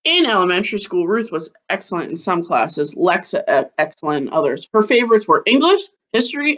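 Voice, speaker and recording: A woman in her thirties reading a children's picture book aloud like a schoolteacher, with deliberate phrasing. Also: medium pitch, a bit bright, low-quality recording. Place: living room at home, seated on a couch. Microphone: webcam or laptop mic at about arm's length.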